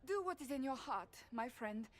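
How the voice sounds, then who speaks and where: Earnest, solemn warrior friend. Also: female voice, high-pitched, animated. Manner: earnest, formal, deliberate phrasing. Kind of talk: video game cutscene acting.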